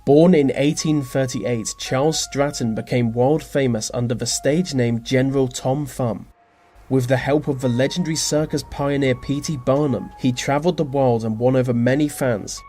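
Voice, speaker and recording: A man's voice: mid-range voice, normal speed. Dryly wry male narrator over music. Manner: dry, wry deadpan asides. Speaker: narrator of a list video. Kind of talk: scripted narration. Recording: music behind the voice. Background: background music.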